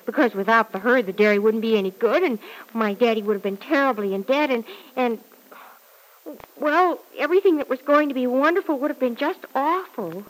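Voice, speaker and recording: A woman's voice: on the high side, brisk, natural voice, poor audio. An actress playing an eager, naive and polite young girl in a vintage radio serial, gushing and deferential. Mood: earnest, pleading. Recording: vintage radio drama recording.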